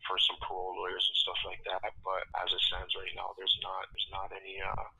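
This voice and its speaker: A forty-year-old man speaks haltingly in a personal phone interview, often repeating phrases. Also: low voice, completely flat delivery, gravelly. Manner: halting, repeats phrases, often adds "you know" and "right?". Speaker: interview guest, incarcerated man. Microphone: telephone. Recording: noisy telephone line with clicks.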